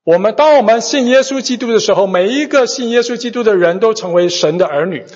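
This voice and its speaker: A man's voice: high-pitched, animated, quick pace, husky. A man interpreting a sermon phrase by phrase, hesitating and restarting as he goes. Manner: hesitant, many fillers and restarts while interpreting. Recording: dull recording in a large echoing hall.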